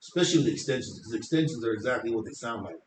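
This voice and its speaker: Man, deep voice, confident, talkative, middle pitch, echoey room, faint background noise. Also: animated, racing. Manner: talkative, confident, explaining at length. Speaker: hairstylist guest. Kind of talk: casual interview chat.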